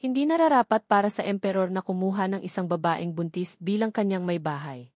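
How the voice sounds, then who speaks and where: A woman narrates a scripted story in a medium-pitched voice. The recording is very dry, with no room around the words. She reads as an audiobook narrator. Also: fairly quick, darkish tone, no room sound.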